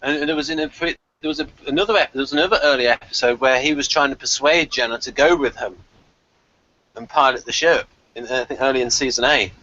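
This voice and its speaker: Guy hosting a casual panel chat, sharp bright voice, talks with emphasis, kinda dull lofi sound. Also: medium pitch, fast. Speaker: host of a TV show review discussion.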